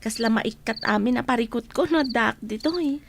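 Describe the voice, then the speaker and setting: A woman's voice: a little high, normal speed, creaky. A woman playing a cheerful, respectful younger visitor in a radio drama, with an Ilocano accent. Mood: relieved, content. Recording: noisy, clicks and chirping insects.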